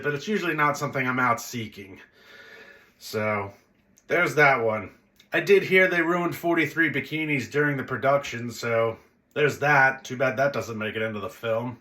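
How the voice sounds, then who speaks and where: Bright-voiced man casually reviewing movies. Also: mid-range voice. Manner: blunt, conversational, rambling with tangents. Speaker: host of a movie review video channel. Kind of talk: casual unscripted film review commentary.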